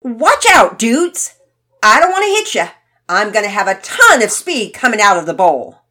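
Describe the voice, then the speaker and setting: A woman's voice: medium pitch, big pitch swings, moderate pace, voice pushed up. A woman voicing a brash, boastful boy with slangy talk in a children's story. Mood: excited shouting. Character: cocky, boastful boy skateboarder, loud and defensive.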